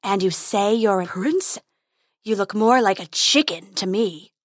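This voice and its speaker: A woman voicing a huge menacing male dragon, speaking slowly in a low growl. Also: animated, dry recording, studio quality. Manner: slow, drawn-out growl.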